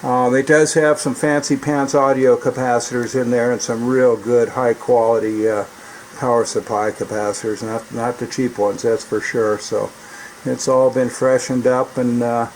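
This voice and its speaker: A man's voice: mid-range voice, flat delivery, normal speed, a little mellow, low-quality recording. An American man giving a casual, rambling, folksy demonstration and chatting as a radio hobbyist. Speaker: radio repair hobbyist and CB operator. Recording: clear background noise.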